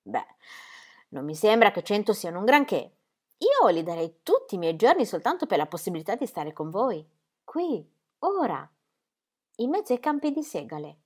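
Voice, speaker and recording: A woman voicing a dreamy, rapturous young woman who gushes breathlessly in a high voice. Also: sing-song, normal speed, darkish tone. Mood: enthusiastic.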